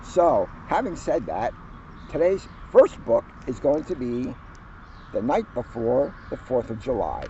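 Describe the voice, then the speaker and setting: A man who is a police officer, reading a children's picture book aloud outdoors with lively storytelling. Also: mid-range voice, a little slow, dull audio, slight background noise. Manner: lively storytelling, livens up on quoted lines. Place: outdoors on a hill at a historic house.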